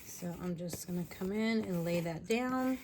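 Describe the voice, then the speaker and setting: A woman with a lingering raspy voice talking casually through a scrapbooking tutorial at home, with chatty, apologetic asides while she works. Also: on the low side, measured pace. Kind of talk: casual crafting tutorial talk. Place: home craft room. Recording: faint room noise from fan. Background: fan running.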